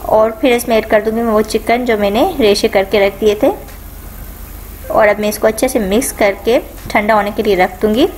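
A woman's voice: medium pitch, normal speed, slight background noise, poor audio. Woman narrating a cooking recipe. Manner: calm, instructive, repeats each step. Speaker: cooking channel host.

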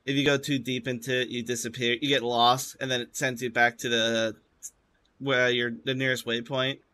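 A man's voice: medium pitch, level tone, moderate pace, sharp tone. A man giving casual live commentary as he streams a video game.

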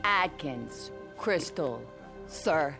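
A defiant recruit in a game drama. She grumbles sullenly and talks back with a foul mouth. Loud music runs behind her. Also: low-pitched, animated, drawn-out words, gravelly, exaggerated voice.

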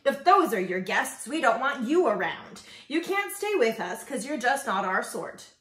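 A stern, indignant moose from a storybook, acted out by a woman. The voice is loud and scornful, fairly high and animated. The sound is roomy. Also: measured pace.

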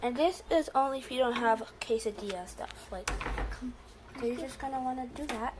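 Teen girl, bright voice, chatty home cooking demo with plenty of fillers, noisy phone recording. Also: a little high, a little fast. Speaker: guest cook. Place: home kitchen. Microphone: handheld phone camera.

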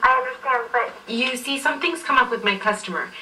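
Smooth talking sales lady, pushy, office training film, bright but distant sound. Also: fairly high pitch, fairly quick, a little hiss or hum. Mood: sympathetic. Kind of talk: acted corporate training drama. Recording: distant, re-filmed off a TV screen.

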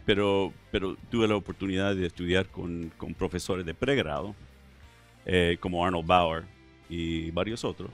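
Deep-voiced man with mixed American-Latin accent. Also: moderate pace, soft background music. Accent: mixed Chilean, Mexican and American Spanish. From California, United States. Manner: hesitant, many fillers and restarts. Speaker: guest historian. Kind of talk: relaxed interview conversation. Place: TV studio set. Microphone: headset mic.

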